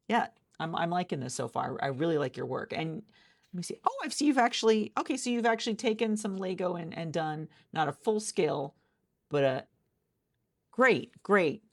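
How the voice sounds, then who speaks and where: A woman with a low-pitched voice and plenty of vocal fry plays an interviewer in an ASMR roleplay. She speaks conversationally, feeling her way through sentences with halting, repeated phrases. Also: expressive.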